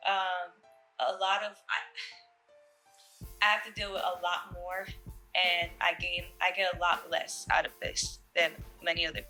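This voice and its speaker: A young woman from Jamaica, a fashion model, answering interview questions in a relaxed way with fillers and soft laughs. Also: fairly low pitch, measured pace, long pauses, soft background music, rough recording.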